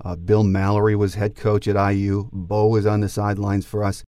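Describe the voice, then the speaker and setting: Low, mellow American male podcast host. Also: level tone, moderate pace, no echo, studio quality. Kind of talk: scripted sports podcast intro and interview.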